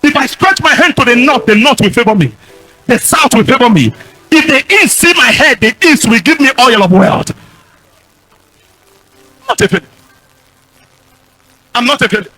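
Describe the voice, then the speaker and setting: A Nigerian man with a husky voice and a Nigerian accent, preaching a fiery charismatic sermon as a pastor, exhorting with repeated commands and declarations. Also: very high voice, big pitch swings, normal speed, pauses often, pushed and bright, faint music behind, rough recording.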